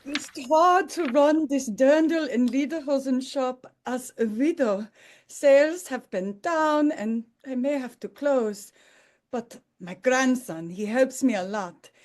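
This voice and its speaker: A woman's voice: high-pitched, slow pace, darkish tone. A woman playing a sweet, wholesome Austrian widow in her seventies who keeps a shop, in a romcom table read. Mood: flustered, grateful.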